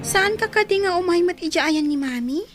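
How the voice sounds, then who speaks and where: Woman playing a very high-voiced reproachful daughter. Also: expressive, moderate pace, over music. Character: young daughter defending herself to her mother. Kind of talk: dramatized audio drama dialogue.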